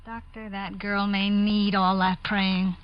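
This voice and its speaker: A woman's voice: medium pitch, level tone, slow, background noise, lightly acted. Woman acting a practical nurse, muffled old radio. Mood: earnest. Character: practical, concerned military nurse, plain-spoken with her superior. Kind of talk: 1950s radio drama acting. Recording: muffled vintage 1950s radio recording.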